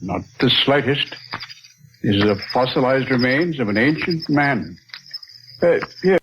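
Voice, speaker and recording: An actor playing a learned, courteous scientist in 1940s radio drama, speaking in a formal, deliberate, lecturing manner. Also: male voice, medium pitch, animated, lightly acted. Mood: assured, lecturing. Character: learned, courteous male scientist, solemn and assured. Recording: muffled, noisy 1940s radio recording.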